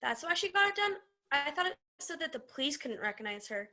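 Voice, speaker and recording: A woman's voice: sits high, very animated, fairly quick, bright, forceful tone, heavily acted. A woman in an amateur play acting a meek, literal-minded teenage sidekick, earnest and apologetic.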